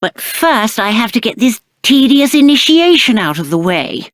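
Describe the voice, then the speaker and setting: High-voiced, pompous spoiled British prince. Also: male voice, very animated, normal speed, fairly bright tone, no echo, clean studio sound, heavily acted. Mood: self-pitying, whiny. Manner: pompous, petulant, theatrical. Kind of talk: video game character acting.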